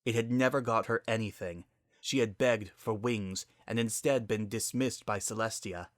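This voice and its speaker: A man's voice: lowish voice, normal speed, dry recording, clean studio sound. A man narrating a story in a dramatic, scripted reading style.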